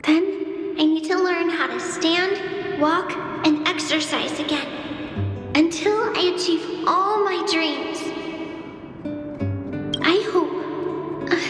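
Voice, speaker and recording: A woman's voice: very high-pitched, slow pace, long pauses. A woman voicing a sick young girl in a game story, earnest, hopeful and childlike, dreaming of being a hero. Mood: wistful, resolute. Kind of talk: scripted game story monologue, letter read aloud. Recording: echoey long reverb over clear music.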